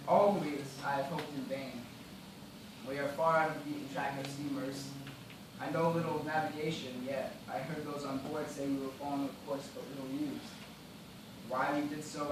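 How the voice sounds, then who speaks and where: A man acting a shipwrecked gentleman in a stage play, resigned and bitter, speaking in a formal, measured, theatrical way. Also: medium pitch, lightly acted. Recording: distant, echoey, noisy live theatre recording.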